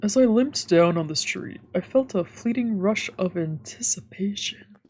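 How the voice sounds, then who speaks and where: Mellow, husky woman reading a story aloud. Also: medium pitch, expressive, a little slow, low-quality recording. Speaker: VTuber streamer reading a visual novel aloud. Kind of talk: scripted narration with casual asides. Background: faint music.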